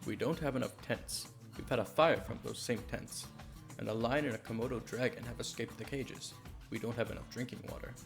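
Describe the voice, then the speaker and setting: A man plays a dutiful male aide with a creaky, mid-pitched voice. He reports in a formal, businesslike way in a manga dub, with music playing clearly behind him. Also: steady tone, a little fast, lightly acted. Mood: worried, reporting. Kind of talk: dramatic manga dub acting.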